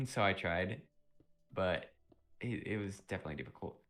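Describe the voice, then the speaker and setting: A young man on a live stream, chatting casually and rambling with lots of fillers, a little sick and often clearing his throat. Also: low-pitched, animated, normal speed. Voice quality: vocal fry. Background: music playing.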